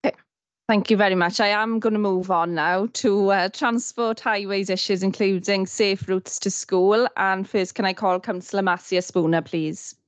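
Brisk woman chairing a meeting. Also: mid-range voice, fairly even intonation, no room sound. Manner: brisk, businesslike.

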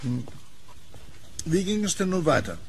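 Courteous sceptical gentleman, horror audio drama, gravelly male voice, lively intonation, noisy background. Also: a little high, a little slow, heavily acted. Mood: curious, sceptical. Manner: courteous, inquisitive. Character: polite, curious, sceptical gentleman listener with a pipe. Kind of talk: German horror radio drama dialogue.

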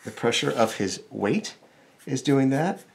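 A middle-aged American man teaches drawing in a casual, informal way. His pitch moves around in a lively fashion, and there is a lot of gravelly fry in his voice. He thinks aloud as he goes, step by step. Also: unhurried. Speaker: cartoonist, drawing instructor.

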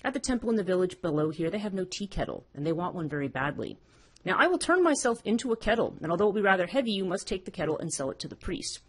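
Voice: mid-range voice, very animated, fast, slightly rough, pitched above the performer's own voice. A storyteller voicing a grateful, devoted shape-shifting fox from a folktale, speaking in a pleading, respectful way. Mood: earnest, pleading.

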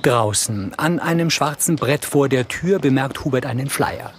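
A man reading scripted scene descriptions for a TV crime series in a measured, matter-of-fact way. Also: medium pitch, a little hiss or hum. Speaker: audio description narrator.

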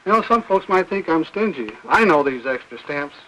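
A bright-voiced, fussy old mailman. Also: a little high, expressive, moderate pace. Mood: fussy grumbling. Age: older. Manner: folksy, rambling, hesitant. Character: fussy, talkative older mailman, apologetic yet grumbling. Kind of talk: 1950s film acting. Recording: noisy vintage 1950s film soundtrack.

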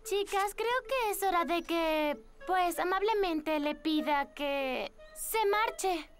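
A woman voicing a kind, polite little girl in a cartoon, gentle and earnest. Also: extremely high pitch, slow. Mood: apologetic, hesitant. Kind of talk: animated cartoon voice acting.

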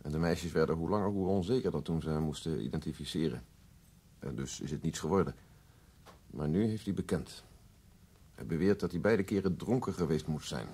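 An actor playing a calm, factual police investigator with a husky voice in a radio drama. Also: male voice, extremely low pitch, fairly quick, full character voice. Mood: matter-of-fact.

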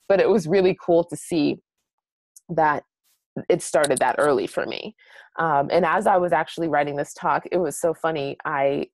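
Young American woman, deep-pitched, chatty and encouraging webinar presenter, close dry sound. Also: creaky, darkish tone, no echo. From New Hampshire, United States. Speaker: guest presenter, blog strategist.

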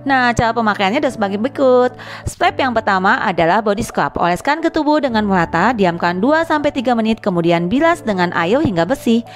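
A woman's voice: on the high side, animated, fairly quick, soft background music. A woman doing a casual, chatty vlog voice-over, addressing viewers as friends. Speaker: vlogger and narrator.

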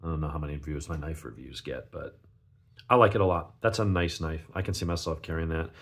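A man with a gravelly, sniffly voice from a cold, giving a casual unscripted product review in a rambling, conversational way. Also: very deep voice, steady tone, fairly quick, a bit bright. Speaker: knife reviewer, channel host.

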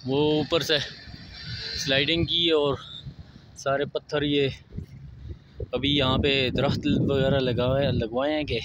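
A man with a Pakistani Urdu accent, walking a mountain road and calmly pointing out sights to his viewers. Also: mid-range voice, highs cut off, noisy background. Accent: Pakistani Hindko-area Urdu. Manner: unhurried, pointing things out to viewers. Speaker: travel vlogger. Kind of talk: casual walking tour narration.

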